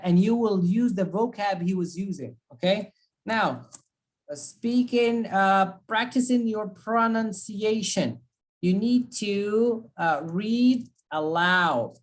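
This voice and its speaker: A woman teaching an online speaking class, giving feedback and advice. She repeats her key points and keeps checking in with "okay?". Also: medium pitch.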